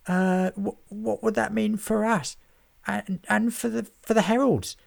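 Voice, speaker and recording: A man's voice: high-pitched, steady tone, measured pace, creaky, voice pushed up, full character voice. A timid scribe who stammers with a quivering voice, as a man reading a storybook puts him on. The voice sits well above the reader's own and sounds set back from the mic. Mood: nervous. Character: scared, stammering young scribe.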